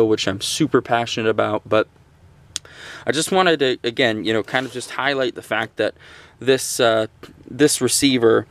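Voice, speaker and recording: A man reviewing a gadget in a casual, unscripted, conversational and somewhat halting way. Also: mid-range voice, no echo. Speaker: gadget reviewer, host of a radio channel.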